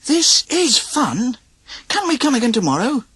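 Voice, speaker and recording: A man acts an eager little cartoon duck boy. The voice is lifted high, husky and very lively, with a pleading, excitable manner. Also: unhurried, no room sound, heavily acted. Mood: gleeful.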